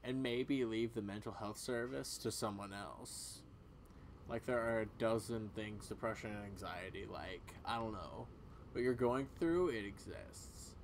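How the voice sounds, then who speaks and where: A man's voice: fairly low pitch, measured pace. Man as a loyal animal friend, noisy recording. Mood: reassuring, hesitant. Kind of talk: voiced video game dialogue. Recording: clearly audible background noise.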